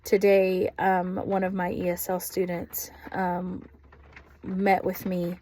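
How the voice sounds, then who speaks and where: Halting Texan woman with a steady tone. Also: lowish voice, a little hiss or hum. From Texas. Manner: thoughtful, halting, frequent ums. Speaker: ESL aide. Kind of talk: spoken reflection on a course video.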